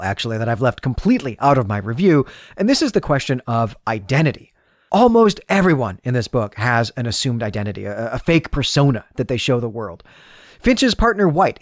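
A man's voice: on the high side, no room sound, clean studio sound. A man hosting a book review podcast, speaking in articulate, literary, carefully phrased commentary. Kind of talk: solo book review commentary, partly scripted.

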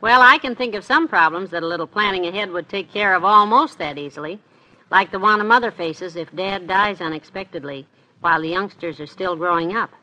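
A woman's voice: lowish voice, a little fast, bright, forceful tone, low-quality recording. A woman performing a scripted, conversational sponsor spot in 1950s radio style. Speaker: radio comedy actress reading an ad.

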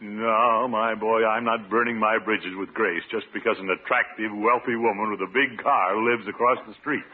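An actor playing a pompous, blustery, flirtatious middle-aged bachelor uncle in a vintage radio comedy. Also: male voice, fairly low pitch, steady tone, moderate pace, hardly any pauses, close to an everyday voice. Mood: defensive. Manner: pompous, blustering, chuckling self-importance. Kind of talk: 1940s-50s radio comedy acting. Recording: tinny, noisy vintage radio recording.

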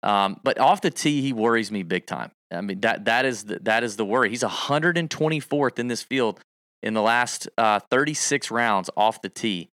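A man co-hosting a casual golf betting livestream, talking in an animated, emphatic way and piling on stats. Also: on the low side, moderate pace, dry recording, studio quality.

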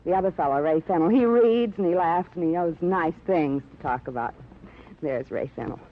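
An actress playing a chatty, genteel, self-absorbed newly widowed housewife, fluttery and rambling, in a 1950s radio drama style. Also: female voice, on the low side, normal speed, lightly acted. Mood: wistful. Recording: tinny, noisy old radio recording.

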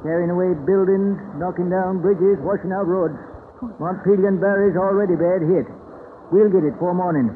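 An actor playing a plain-spoken, worried New farmer with a folksy, terse in an old radio play. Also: male voice, high voice, steady tone, normal speed, close to an everyday voice. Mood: grim, alarmed. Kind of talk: 1940s radio acting. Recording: noisy, tinny old radio recording.

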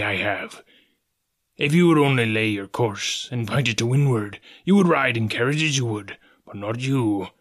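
A man acts out a cunning pirate sea cook from an adventure storybook. He is domineering and scheming, scolding his mates in rough, rustic sailor's speech. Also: on the low side, a bit bright. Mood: scornful.

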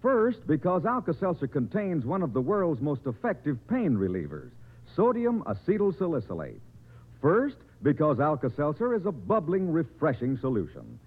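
A man delivers an old radio commercial in a polished, emphatic announcer style. His pitch swings very widely from a slightly high base. The sound is thin and band-limited, like a 1950s broadcast. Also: huge pitch swings, normal speed, slightly rough, narrow, tinny audio.

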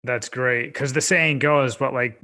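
An American man hosts a conversational podcast interview. His voice sits at an ordinary middle pitch, and he speaks in an informal, encouraging manner. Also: steady tone, measured pace, bright, forceful tone. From upstate New York, United States.

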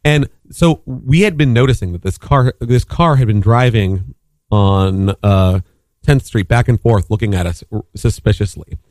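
A man's voice: on the low side, measured pace, darkish tone, no echo. A man telling a long story in casual chat, rambling with lots of fillers and restarts. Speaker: podcast co-host telling a story.

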